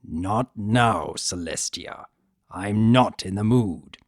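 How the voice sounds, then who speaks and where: A man voicing an urbane but sullen and volatile male spirit of chaos in a fantasy story, with theatrical, drawn-out, emphatic delivery. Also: on the low side, no echo, studio quality. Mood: sullen, menacing. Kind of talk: dramatic fan fiction reading.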